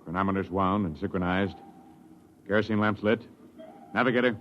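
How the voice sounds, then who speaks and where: A man's voice: low-pitched, steady tone, a little fast, lightly acted. An actor playing a dutiful male ship's officer, making formal procedural call-outs in 1950s radio drama style. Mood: formal, alert. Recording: dull, noisy vintage radio recording.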